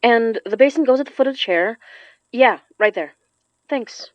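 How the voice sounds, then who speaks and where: An actress playing a young woman who works magic, tired, wry and protective, with a brisk, matter-of-fact delivery in a naturalistic audio drama. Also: on the high side, no echo, dull audio, close to an everyday voice. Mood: businesslike.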